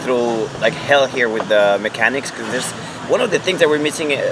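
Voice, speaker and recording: A man's voice: medium pitch, expressive, heavy background noise. A man, hosting a casual brunch chat on an outdoor restaurant patio. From Mexico. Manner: chatty, laughing, quick asides. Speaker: vlog host. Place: outdoor restaurant patio under a thatched roof. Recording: music playing behind the voice. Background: loud background music.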